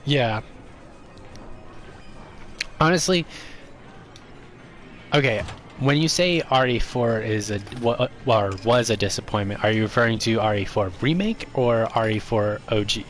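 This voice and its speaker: A man's voice: on the low side, a little slow, long pauses, a little hiss or hum. A man streaming a video game, chatting casually and giving his opinions, with a stuffy, congested voice. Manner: relaxed, opinionated, chatty with viewers. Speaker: gaming streamer.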